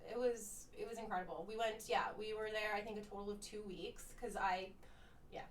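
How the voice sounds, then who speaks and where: A woman's voice: mid-range voice, steady tone, a little fast. A woman who grew up speaking Croatian at home, chatting casually as a guest in an upbeat way with lots of fillers. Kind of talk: casual chat. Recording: roomy, distant mic with faint noise.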